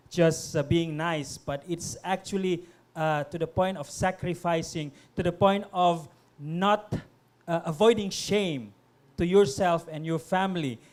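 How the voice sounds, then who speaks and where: Man, middle-aged, from Indonesia, somewhat high voice, halting, lots of uh and um. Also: a little mellow. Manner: hesitant, many fillers and restarts. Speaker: guest. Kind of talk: panel conversation.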